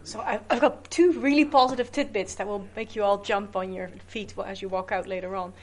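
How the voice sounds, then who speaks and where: A middle-aged woman professor speaking on a panel, lively and full of anecdotes. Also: mid-range voice, brisk. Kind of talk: academic panel discussion.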